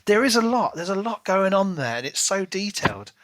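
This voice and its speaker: A man's voice: high-pitched, very animated, a little fast, a bit bright. A man co-hosting a podcast, talking casually and enthusiastically about books with emphatic delivery. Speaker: podcast co-host. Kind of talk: casual book discussion chat.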